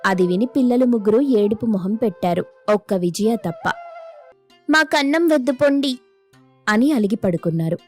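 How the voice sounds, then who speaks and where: A woman's voice: mid-range voice, fairly quick, few pauses, dark tone, soft background music. A woman narrating a scripted moral story as its storyteller.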